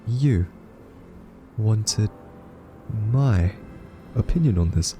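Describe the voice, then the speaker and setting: A man playing a timid, quirky scientist in an audio roleplay, soft-spoken, stammering and awkwardly polite. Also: lowish voice, expressive, extremely slow, soft background music. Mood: baffled, hesitant. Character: timid, quirky male scientist held captive by a rogue AI. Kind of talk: audio roleplay acting addressed to listener.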